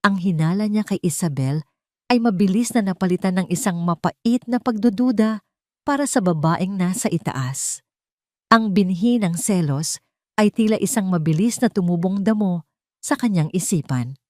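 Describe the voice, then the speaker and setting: A woman's voice: medium pitch, normal speed, darkish tone, dry recording, studio quality. A woman narrator reading a scripted romance audio drama in a dramatic storytelling style.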